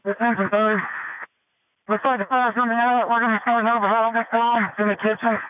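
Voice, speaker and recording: A man's voice: very high-pitched, level tone, fairly quick, dry recording. A male firefighter giving terse status reports over a two-way radio. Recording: noisy, tinny emergency radio transmission.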